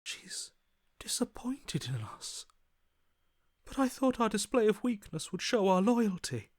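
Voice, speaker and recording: A broken man's inner voice from a dramatic video game. He is servile and worshipful, pleading in a hushed, breathy, defeated way. The sound is dry and close. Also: high-pitched, sing-song, a little slow, no echo, full character voice. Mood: anguished devotion.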